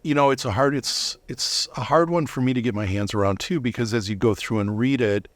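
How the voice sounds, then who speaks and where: A man's voice: medium pitch, brisk, no echo. A man giving earnest, explanatory answers as a guest in a conversational interview. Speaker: interview guest, volunteer Bible reader.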